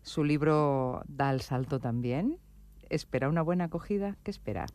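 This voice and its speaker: A woman's voice: low-pitched, animated, a little mellow, dry recording. Composed female interviewer. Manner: measured, composed presenter delivery. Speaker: radio interviewer. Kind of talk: scripted presenter questions.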